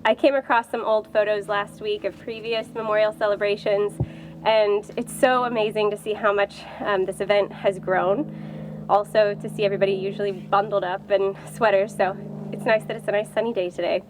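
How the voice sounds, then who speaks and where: A woman's voice: medium pitch, level tone, moderate pace, background noise. A woman giving an informal welcome speech outdoors to a gathering. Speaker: cemetery staff member giving welcome speech.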